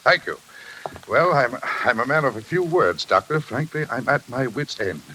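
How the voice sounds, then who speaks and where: A hissy old radio recording of a blustering railroad magnate. His voice is forceful and medium-pitched, brusque and self-justifying, in the emphatic manner of 1940s radio drama. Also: lively intonation, moderate pace, low-quality recording. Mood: brusque, self-important. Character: blustering, self-important railroad tycoon with a troubled conscience.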